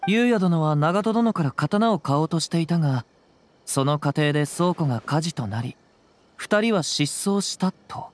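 A man's voice: a little high, animated, normal speed, lightly acted. A man playing a courteous, thoughtful young samurai in a video game, speaking with composed, old-fashioned formality. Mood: inquiring. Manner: composed, archaic samurai phrasing.

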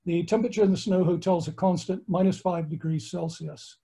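An older man with an American accent talks through a slideshow from his book-lined study. His voice sits fairly high for a man yet sounds mellow and warm, and he explains things at an even, friendly pace. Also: fairly quick, gravelly. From United States. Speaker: astronomer and tour lecturer. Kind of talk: online slideshow lecture. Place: home study with bookshelves.

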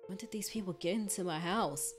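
A woman doing a let's play, reading a visual novel aloud and exclaiming expressive reactions. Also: mid-range voice, brisk. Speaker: gaming streamer. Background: game music.

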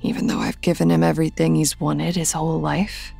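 Gravelly, low-voiced bitter widowed mother. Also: level tone, fairly quick, dark tone, faint music behind, heavily acted. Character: bitter, guarded widowed mother of a missing son. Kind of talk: audio drama acting.

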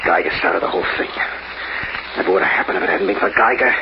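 An actor playing a slangy, hard-boiled locksmith in an old-time radio crime drama. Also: male voice, deep voice, fast, gravelly. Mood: tense, frustrated. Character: edgy, wisecracking working-class male locksmith. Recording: muffled, noisy old radio recording.

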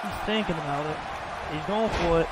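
A man commentating his own wrestling video game match in loud, excitable play-by-play, exclaiming and swearing at the big moves. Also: a little high, normal speed. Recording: loud game crowd and noise behind.

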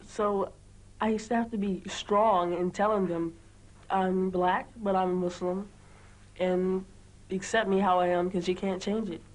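A British teenage girl with a medium-pitched voice talks informally. She is a student giving interview answers in a classroom. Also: fairly even intonation, unhurried, rough recording. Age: teens. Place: small indoor classroom.

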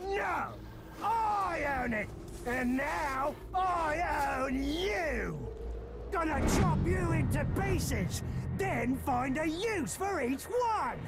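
A bloodthirsty video game orc commander, high-pitched and thick with gravel. The words come slowly and emphatically as bellowed threats over loud music. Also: male voice, animated, exaggerated voice. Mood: gloating threat. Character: bloodthirsty orc outpost captain, possessive, ranting. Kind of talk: video game villain acting.

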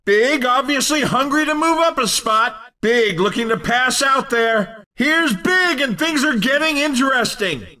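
A man playing an excitable, teasing, hammy race commentator in a video game. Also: very high voice, measured pace, recorded from a distance, full character voice. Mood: excited, urgent. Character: excitable, cheeky male racing game announcer.

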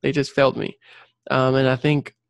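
A young man with a gravelly fry in his voice and a fairly even melody speaks in a dry, close recording. His first language is Spanish. He answers casually and earnestly as an interview guest, circling back to stress his points. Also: medium pitch, normal speed, dry recording. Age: late 20s or 30s. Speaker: interview guest, civil engineer and career coach.

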